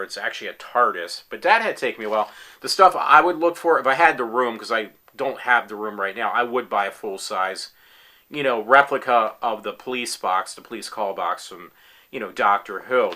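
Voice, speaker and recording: Man, late forties, bright-toned, rambling fan talking casually from his room at home. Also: lowish voice. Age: 40s. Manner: rambling, many fillers and restarts; enthusiastic fan. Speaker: applicant in a tryout video. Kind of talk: casual self-recorded talk.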